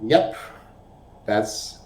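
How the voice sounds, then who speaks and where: Slow-spoken man from Lithuania. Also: darkish tone, a little hiss or hum. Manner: slow, halting, plain. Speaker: webinar participant. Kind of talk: self-introduction on a video call. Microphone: video call. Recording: echoey room.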